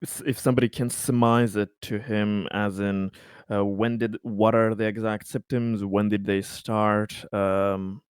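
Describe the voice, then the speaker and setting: A man playing a tabletop game, casually and haltingly describing what his character does. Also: fairly low pitch, little pitch movement, clean tone, no echo, clean studio sound. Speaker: player in a tabletop roleplaying game.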